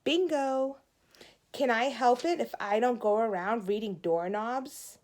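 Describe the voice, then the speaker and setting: A woman's voice: fairly high pitch, unhurried, darkish tone, natural voice. A bossy young girl from a children's storybook, acted out by a woman reading aloud. She is moody and exasperated with everyone, snapping out her words with dramatic emphasis and taking her time over them. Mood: grumpy, sarcastic.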